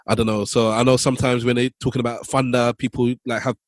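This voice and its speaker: Quick-talking male podcast host, dry studio sound. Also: mid-range voice, little pitch movement, no room sound. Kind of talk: casual conversational interviewing.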